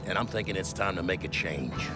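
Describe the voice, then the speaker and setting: Animated, folksy mob boss. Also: male voice, deep voice, brisk. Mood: measured, coaxing. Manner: folksy, profane, salesman-like. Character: gregarious, ruthless, foul-mouthed older male mob boss. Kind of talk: cinematic video game dialogue. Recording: music behind the voice.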